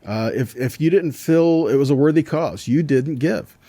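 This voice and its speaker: Male religious teacher: relaxed, dark timbre, very dry recording, explains scripture point by point with repetition and rhetorical questions. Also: fairly high pitch, normal speed, dry recording.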